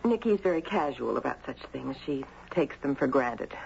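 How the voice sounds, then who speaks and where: A woman playing a polite, protective, grieving mother, measured and guarded, in a muffled, noisy 1970s radio drama. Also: a bass voice, big pitch swings, vocal fry, a little mellow, exaggerated voice. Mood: guarded, sombre.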